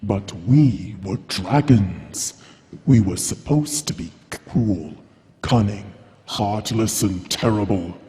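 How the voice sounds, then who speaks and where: A man's voice: on the low side, slow, warm tone. A man playing a cold, imperious dragon king who speaks with menacing grandeur and contempt in a live audio drama. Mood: contemptuous. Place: stage at a convention hall. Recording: live stage recording in reverberant hall.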